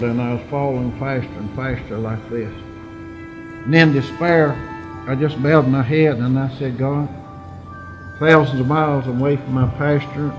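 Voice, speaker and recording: Older male veteran, mid-pitched voice, earnest storytelling, deliberate dramatic pauses, prominent music underneath. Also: rough recording. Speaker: war veteran. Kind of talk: personal testimony storytelling.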